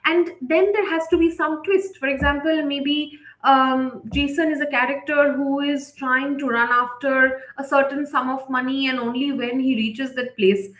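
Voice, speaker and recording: High-voiced woman teaching, echoey room. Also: fairly even intonation, moderate pace, hardly any pauses, fairly bright tone. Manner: conversational, often tags sentences with "right?" or "okay?". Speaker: presenter teaching writers in a live session. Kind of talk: instructional webinar talk, explanatory. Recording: echoey, roomy sound.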